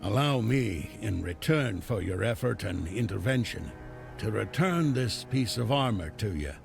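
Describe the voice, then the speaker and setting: Gruff fantasy game chieftain type, deep and really croaky, grave delivery, music behind. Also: male voice, lively intonation, unhurried, full character voice. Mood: solemn, grateful. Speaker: video game character. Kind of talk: dramatic fantasy game dialogue. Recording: game dialogue over clear music.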